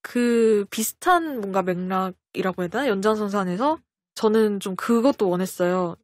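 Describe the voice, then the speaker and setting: A woman's voice: medium pitch, normal speed, dry recording. A woman talking casually as a self-effacing interview guest, choosing her words carefully. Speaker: guest comic artist.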